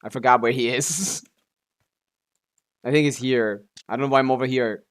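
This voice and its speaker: A man streams a video game with casual running commentary. His voice sits at an ordinary middle pitch. He talks offhandedly, half to himself, and swears freely. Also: fairly even intonation, moderate pace. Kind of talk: casual live gameplay commentary.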